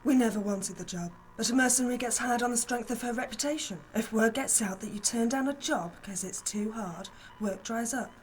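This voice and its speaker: A woman's voice: fairly high pitch, fairly quick, hardly any pauses, crowd noise, lightly acted, poor audio. A woman playing a blunt, hard-boiled female mercenary leader in an audio drama. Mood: grave, businesslike. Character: tough, businesslike female mercenary gang leader.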